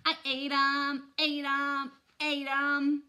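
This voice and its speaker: Woman putting on a little toddler boy, slow singsong baby talk, way up high, cheeky. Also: full character voice. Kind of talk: storybook read-aloud.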